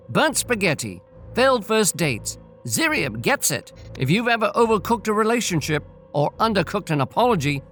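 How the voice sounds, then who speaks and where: Guy hosting a story thing, really animated n playful, jokey commentary, music under it. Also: high-pitched. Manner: theatrical, playful. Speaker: host narrator and commentator. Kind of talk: scripted storytelling with joking commentary.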